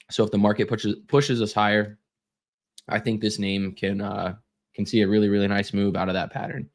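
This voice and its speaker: A young man losing his voice, running a casual live trading stream with lots of fillers and repeated words. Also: fairly low pitch.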